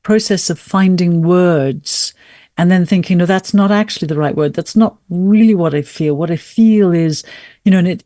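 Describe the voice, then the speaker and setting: A woman speaking as an interview guest, explaining ideas in an articulate, measured and thoughtful way with emphatic pauses. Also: deep voice, warm tone, dry recording, studio quality. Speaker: writer and podcast guest.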